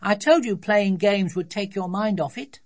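A woman's voice: medium pitch, normal speed, a little mellow, pitched above the performer's own voice, natural voice. A woman voicing a bossy, triumphant little girl in a children's storybook, with emphatic, insistent delivery.

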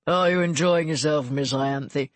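A woman voicing a smooth-talking, flattering Regency gentleman, one of the figures in a read romance story. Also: low-pitched, measured pace, no room sound. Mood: suave. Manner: suave, fulsome compliments.

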